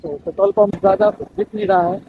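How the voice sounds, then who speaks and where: High-pitched young man, muffled. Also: flat delivery, quick pace, a little hiss or hum. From Bihar, India. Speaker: motovlogger. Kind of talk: casual vlog commentary while riding. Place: outdoors on a motorbike on town roads.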